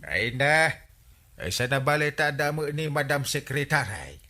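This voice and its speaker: A male actor playing an excitable, whiny village captain in an Ilocano radio comedy drama, exclamatory and hesitant, with an Ilocano accent. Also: fairly high pitch, measured pace, heavily acted. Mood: breezy.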